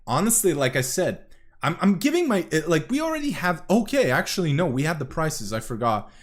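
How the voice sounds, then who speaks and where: A man's voice: on the high side, big pitch swings, a little fast. A young man from Serbia, rambling through casual reaction commentary as a streamer, swearing often and correcting himself.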